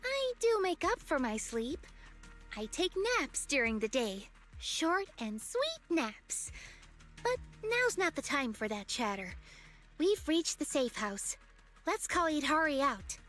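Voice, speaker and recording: Very high, bright, perky girl. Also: big pitch swings, slow, soft background music, heavily acted. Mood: cheerful. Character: perky, watchful young girl companion, energetic and caring. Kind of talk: video game voice acting.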